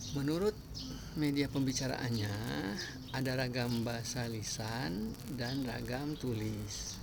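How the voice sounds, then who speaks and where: A man lectures in a calm, measured way, pausing between items as he lists examples. His voice sits at an ordinary middle pitch. Clear background noise runs under the recording, with birds chirping. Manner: measured, listing examples one by one with pauses. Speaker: language teacher. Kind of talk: spoken lecture on language varieties.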